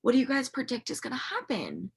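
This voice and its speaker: A woman reading a picture book to young children like a teacher, expressive and questioning, with dramatic emphasis and a slightly husky voice. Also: high-pitched. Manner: animated, expressive storytelling with dramatic emphasis and pauses. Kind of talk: interactive children's read-aloud, asking questions.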